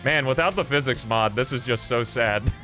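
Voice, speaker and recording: A man's voice: mid-range voice, sharp tone. A man doing casual live video game commentary, thinking aloud in a rambling, offhand way as he plays. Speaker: video game streamer and host. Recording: game music behind the voice. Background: video game music.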